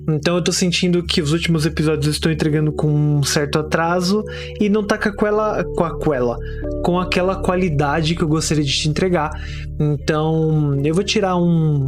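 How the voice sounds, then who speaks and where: A man with a Brazilian accent, hosting and narrating a horror audio show. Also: on the high side. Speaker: horror podcast host and narrator. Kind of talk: horror story narration and casual host chat. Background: music.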